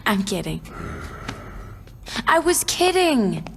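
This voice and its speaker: A woman's voice: a little high, very animated, very slow, gravelly, slight background noise, full character voice. A woman playing a gang boss's catty young girlfriend, mocking and falsely sweet, in a video game cutscene.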